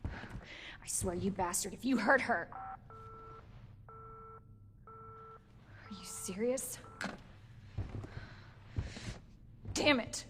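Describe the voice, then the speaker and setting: A woman's voice: medium pitch, expressive, takes it slowly, long pauses, background noise. An actress playing a determined, protective young woman in a horror game, speaking with urgent, blunt emotion. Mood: tense, angry. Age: young adult. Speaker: video game protagonist. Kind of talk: cinematic game voice acting.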